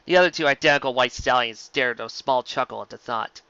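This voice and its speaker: A man's voice: medium pitch, fairly quick, creaky, sharp tone, clean tone, no room sound, lo-fi sound. A man reading a scripted story as an amateur narrator, now and then stumbling over words and rereading them. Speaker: amateur audiobook reader.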